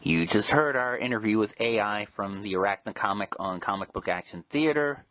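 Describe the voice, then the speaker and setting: Low-voiced man hosting a show. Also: animated, moderate pace, fairly bright tone, a little hiss or hum. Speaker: show host and interviewer. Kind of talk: casual hosting and commentary on comics history.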